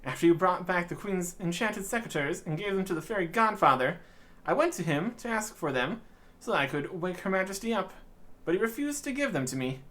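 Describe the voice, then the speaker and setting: A man's voice: high voice, expressive, brisk, a bit bright. A man voicing an anxious, earnest fairy while reading video game dialogue aloud. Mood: worried, earnest.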